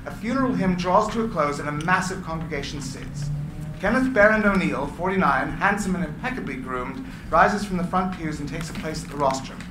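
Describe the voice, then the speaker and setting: Gravelly male narrator for stage directions, echoey room, noisy. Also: fairly high pitch, fairly quick. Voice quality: gravelly from recording work. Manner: clear, expressive reading. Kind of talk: script reading narration. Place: meeting room with audience. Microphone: stand microphone. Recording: echoey room, background noise.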